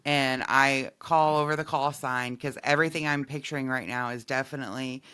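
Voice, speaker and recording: A woman's voice: a bass voice, steady tone, brisk, a bit bright, clean tone. A woman hosting a podcast in casual comedic chat, with a playful, jokey manner and a readiness to laugh.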